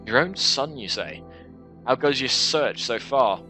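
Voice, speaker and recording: A young man streaming a game, reading its narration aloud in a headset and breaking off into quick casual asides. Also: mid-range voice, expressive, bright, forceful tone, faint music behind. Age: young adult. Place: small room at home.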